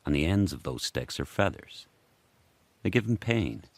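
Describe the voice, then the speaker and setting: An actor voicing a powerful, solitary dog turning wild, telling his story in terse, measured present-tense sentences for a radio drama. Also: deep voice, sing-song, creaky, no room sound, full character voice. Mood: grim, primal.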